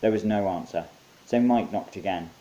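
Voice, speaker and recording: A man's voice: fairly low pitch, normal speed, warm tone, low-quality recording. A man narrating his own short story as an author reading from a script.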